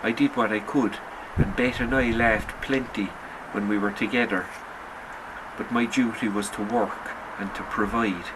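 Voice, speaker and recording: Man, lowish pitch, sombre measured story narration, literary audiobook style, noticeable ambient noise behind the voice. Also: fairly even intonation. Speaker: author reading own short story.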